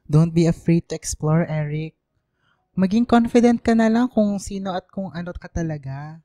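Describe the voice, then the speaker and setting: Mellow effeminate Filipino teenage boy played by a woman. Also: on the low side, moderate pace, no echo, lightly acted. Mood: supportive, reassuring. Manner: gentle, reassuring, code-switching into English. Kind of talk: radio drama acting. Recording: dry studio with faint music.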